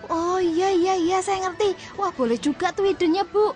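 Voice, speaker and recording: A woman's voice: very high-pitched, fairly even intonation, moderate pace, a little mellow, faint music behind. A woman playing a chatty, gossip-loving woman who runs a small food stall in a radio drama. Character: chatty woman warung owner, fond of gossip.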